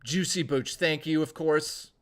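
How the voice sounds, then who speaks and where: A man streaming, hoarse and losing his voice, rambling through a casual sign-off and thanking viewers by name. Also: on the high side, fairly even intonation, unhurried.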